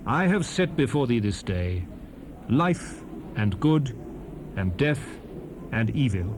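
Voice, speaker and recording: Casting: stern biblical prophet, higher-pitched and widely swinging delivery, solemn, emphatic oratory for scripture audio drama. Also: male voice. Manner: declamatory, grave, emphatic. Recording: noisy 1970s cassette rip.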